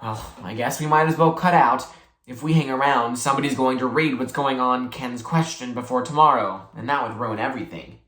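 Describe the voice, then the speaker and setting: A storybook reader voicing a bossy, theatrical boy who schemes smoothly and likes to play high priest. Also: fairly quick, fairly bright tone, echoey room, natural voice. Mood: feigned innocence. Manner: solemn chanting, mock-innocent persuasion.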